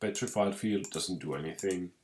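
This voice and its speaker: A young man with a Finnish accent who is sick with a cold, commentating his own card game match with many pauses, restarts and throat clearing. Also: low voice, a little mellow, slight background noise. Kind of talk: casual gameplay commentary.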